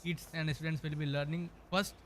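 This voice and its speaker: Man, interviewer, hesitant, many fillers, slightly high pitch, noisy recording. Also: flat delivery, fairly quick. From India. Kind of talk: casual interview. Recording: noisy background.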